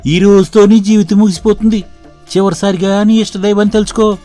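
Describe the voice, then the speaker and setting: A woman voicing a cruel male henchman in an animated story, blunt and threatening. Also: medium pitch, fairly quick, soft background music, natural voice. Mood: menacing. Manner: blunt, menacing.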